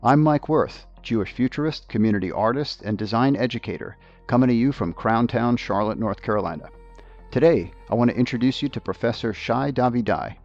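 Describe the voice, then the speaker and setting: A man hosting a podcast, reading a scripted introduction in a measured, polished way. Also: mid-range voice, a little mellow, highs cut off, soft background music. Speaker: podcast host.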